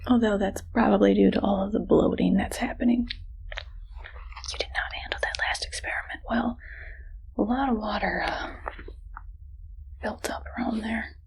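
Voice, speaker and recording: A woman's voice: medium pitch, fairly even intonation, vocal fry, close to an everyday voice, poor audio. Whispering, friendly but evil woman scientist, acted out. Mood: gleeful, clinical. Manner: soft, playful, mock-clinical, unhurried. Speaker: ASMR roleplay performer. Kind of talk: whispered ASMR medical roleplay.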